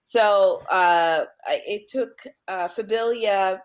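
A middle-aged woman obstetrician, whose first language is French, chats on a podcast as a guest. She talks in an animated, rambling way, restarting often, in a mid-pitched voice. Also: slow pace. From born in Brooklyn, raised in Montreal. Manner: chatty and animated, laughing, many fillers and restarts. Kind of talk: casual interview chat.

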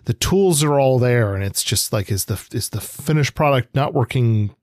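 A man's voice: mid-range voice, animated, moderate pace, a little mellow, no room sound, clean studio sound. A man co-hosting a casual literary discussion, hesitantly thinking aloud in fragments. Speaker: podcast co-host.

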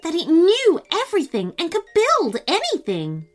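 Woman putting on an eager, high-pitched little girl. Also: moderate pace, soft background music, full character voice. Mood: breathless wonder. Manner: earnest, excitable, polite. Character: eager, polite, wide-eyed little girl.

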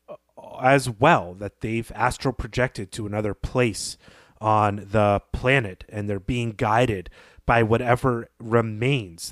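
A man co-hosting a literary discussion podcast, speaking in a measured, reflective way with pauses mid-thought. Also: lowish voice, no room sound, clean studio sound. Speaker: podcast co-host.

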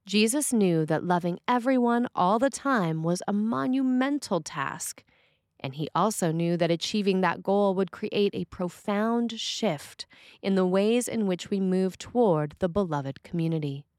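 A woman reading a scripted sermon as a preacher, with measured, deliberate phrasing. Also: medium pitch, animated, darkish tone, no echo, clean studio sound.

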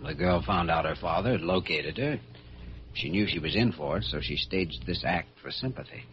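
An earnest young male doctor speaks very low, in a measured, polite, probing way. The recording is old radio drama, muffled with faint noise. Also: animated. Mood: puzzled, businesslike. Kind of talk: 1940s radio drama acting.

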